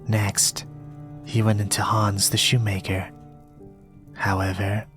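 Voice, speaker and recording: A young man reading a storybook in a soft-spoken, partly whispered ASMR style, with a gentle, measured delivery. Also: fairly low pitch, little pitch movement. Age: young adult. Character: polite soft-spoken young male reader, quietly eerie. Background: soft music.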